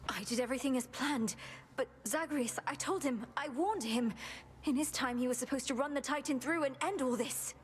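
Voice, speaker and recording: A woman's voice: high voice, normal speed, a little hiss or hum, natural voice. A young woman playing a determined, proud underworld princess in a video game, formal and intense in her delivery. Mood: dismayed. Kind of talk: dramatic video game voice acting.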